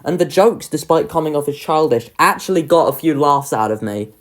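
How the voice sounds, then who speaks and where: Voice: medium pitch, expressive, fairly quick. A young adult giving a casual, enthusiastic ranking commentary, informal and happy to swear. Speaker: video creator ranking children's pantomimes.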